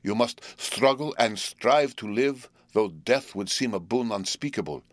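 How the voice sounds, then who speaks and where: A man voicing an elderly male professor, speaking gravely, slowly and emphatically in a fatherly way. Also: lowish voice, dry recording, clean studio sound, lightly acted. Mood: fervent urging. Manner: grave, slow and emphatic pleading.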